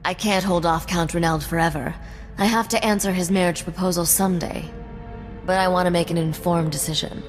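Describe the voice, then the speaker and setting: A woman's voice: lowish voice, fairly even intonation, brisk, natural voice. A woman playing a teasing, self-possessed young rogue and royal heiress in a video game cutscene. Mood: wry, guarded. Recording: hall reverb, game music underneath.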